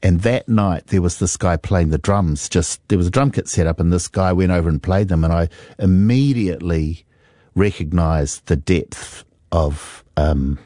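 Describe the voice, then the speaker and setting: Deep, dark-voiced New Zealand man. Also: dry recording, clean studio sound. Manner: reflective, unhurried, many fillers. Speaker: musician guest. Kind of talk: relaxed interview storytelling.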